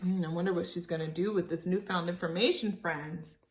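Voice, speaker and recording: A woman's voice: fairly low pitch, steady tone, a little fast, recorded from a distance, noisy background. A woman reading a storybook aloud expressively for children, encouraging and speaking to them as friends. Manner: encouraging, addresses young listeners as friends.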